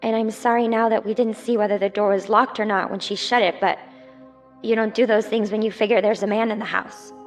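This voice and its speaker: A woman's voice: medium pitch, fairly even intonation, a little fast, soft background music, close to an everyday voice. A woman playing a friendly young 1950s housewife in a radio drama. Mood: hesitant, regretful.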